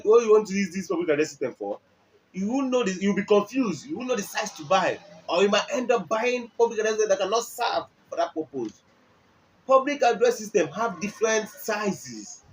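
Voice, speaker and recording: Higher-voiced Nigerian man, emphatic explainer. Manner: emphatic, repetitive, checks listener with questions. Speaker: music equipment dealer and presenter. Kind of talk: product explainer talk. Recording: dull lo-fi audio, faint background noise.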